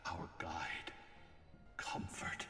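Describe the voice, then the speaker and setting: A man voicing an ancient, solemn male spirit guardian in a game, slow and grave, with a breathy voice and ghostly reverb. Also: whispered. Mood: grave, reverent. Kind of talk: solemn scripted game dialogue. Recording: echoing, distant, music behind. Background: game music.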